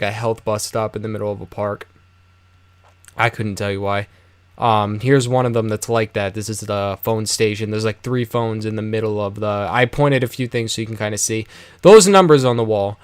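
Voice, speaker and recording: American male game-guide narrator, conversational and loose, clean smooth tone, very dry recording. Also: mid-range voice, no room sound. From United States. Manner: rambling, offhand, conversational. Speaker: video game guide host. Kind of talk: casual game walkthrough commentary.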